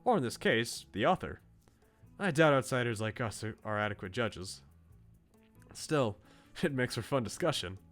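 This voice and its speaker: A bookish young male student from a visual novel, read aloud by a man. He muses philosophically in articulate, politely opinionated phrases, his pitch moving freely. Light music sits underneath. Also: animated, unhurried, pauses often, fairly bright tone. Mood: musing, conceding.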